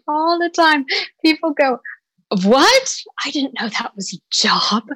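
A woman's voice: sits high, sing-song, slow pace, sharp tone, dry recording, poor audio. A woman working as a voice coach, answering interview questions thoughtfully with frequent restarts and repeated words.

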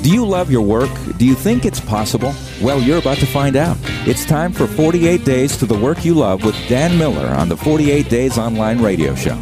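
Lively male announcer over guitar music. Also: mid-range voice, moderate pace, hardly any pauses. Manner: announcer-style, lively delivery. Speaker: show announcer. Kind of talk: scripted show intro over music.

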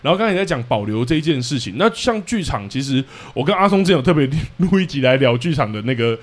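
Lively, fast Taiwanese man hosting. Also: medium pitch. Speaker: podcast host, interviewer. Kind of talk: casual interview chat.

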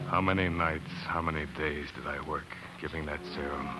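Deep-voiced American earnest, haunted doctor-narrator, 1940s radio drama with music. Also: male voice, fairly even intonation, normal speed. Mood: somber, haunted. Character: earnest, grief-stricken doctor narrating his own tragic story.

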